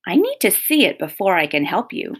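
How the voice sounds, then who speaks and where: A woman voicing a wise, patient old koala grandfather in a children's storybook, kindly but exacting, giving measured instructions. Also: medium pitch, wildly swooping pitch, slightly rough. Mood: kindly, instructive.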